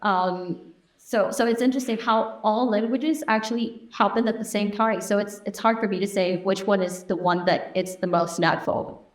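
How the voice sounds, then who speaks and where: A woman raised in Argentina, a native Spanish speaker, talking as a guest in a casual interview, reflective and unhurried, thinking aloud. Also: mid-range voice. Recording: roomy echoey room.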